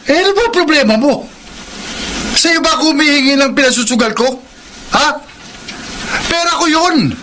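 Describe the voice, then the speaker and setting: A defensive, bad-tempered Filipino husband in a radio drama. His voice is very high for a man and forceful, snapping curtly over faint noise. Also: animated, normal speed, pauses often, heavily acted, low-quality recording. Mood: irritated, defensive. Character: Denver, irritable defensive gambling husband.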